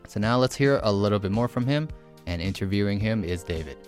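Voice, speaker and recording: Deep-voiced man introducing a guest. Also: lively intonation, brisk, faint music behind. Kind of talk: scripted introduction.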